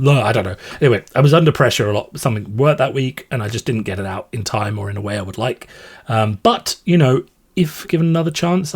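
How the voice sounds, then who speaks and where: A man from Ireland, talking casually and unscripted to his subscribers as a podcast host, in a rambling, self-deprecating way. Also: medium pitch. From Ireland, born in London and raised in Limerick from age eight. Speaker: podcast host and content creator.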